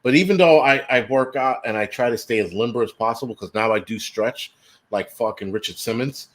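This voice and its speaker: A man's voice: medium pitch, a little fast. A man in his forties hosting a casual livestream chat, teasing and swearing freely while reading viewer comments aloud. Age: 40s. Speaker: livestream host.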